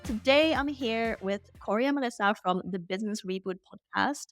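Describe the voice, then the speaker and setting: A woman's voice: fairly high pitch, moderate pace, no room sound, studio quality, soft background music. A woman hosting an interview podcast, speaking in an upbeat, enthusiastic way. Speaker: podcast host.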